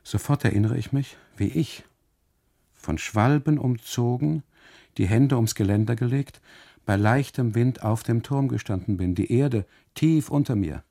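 An actor playing an uneasy husband on holiday in a literary radio monologue, narrating inwardly and haltingly in a gravelly, husky voice. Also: male voice, mid-range voice, warm tone. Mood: anxious unease. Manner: halting, brooding, deliberate phrasing with repetitions and dramatic pauses. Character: uneasy German husband on holiday, obsessively narrating his story.

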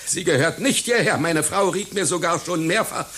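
An actor playing a vain, paranoid circus clown in a satirical radio play, pompous and agitated in manner. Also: male voice, high-pitched, moderate pace, a bit bright. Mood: offended, pompous. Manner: pompous, theatrical, agitated, swinging into despair. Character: vain, paranoid, melodramatic star circus clown artist, male.

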